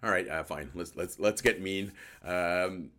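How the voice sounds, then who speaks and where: A man with a hoarse voice hosting a casual live sports chat show, joking and thinking aloud as he answers viewers. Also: sits low, a little slow, pushed and bright. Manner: joking, conversational, thinking aloud with repeated words. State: hoarse voice after a night outdoors. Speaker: host of a hockey post-game recap show.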